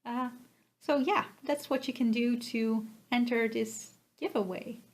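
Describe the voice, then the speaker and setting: A woman from the Netherlands, a native Dutch speaker with a Dutch accent, chatting casually to her podcast viewers in a halting, self-effacing way. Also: on the high side, fairly even intonation. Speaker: podcast host. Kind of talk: casual vlog chat to viewers.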